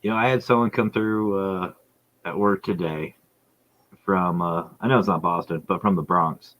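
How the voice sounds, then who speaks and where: A man's voice: low voice, steady tone, normal speed, highs cut off. A man hosting a podcast in casual chat, telling stories with plenty of laughs and swearing. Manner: profane, laughing storyteller. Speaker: podcast host.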